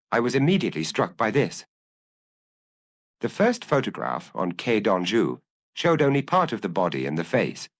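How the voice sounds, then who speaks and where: A man's voice: on the low side, no room sound. A man voicing an eager press photographer in an audiobook, explaining his findings in careful detail.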